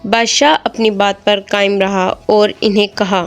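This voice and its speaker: A woman's voice: medium pitch, level tone, measured pace. A woman storyteller reading a scripted moral tale as narrator. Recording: music behind the voice.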